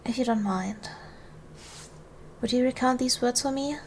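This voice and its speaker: A woman acts out a noble duchess in a historical ASMR roleplay. Her voice sits fairly high, with formal, measured phrasing that soothes yet carries quiet command. Some background noise runs beneath her words. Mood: gentle, reassuring. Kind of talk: ASMR roleplay, soft formal period speech.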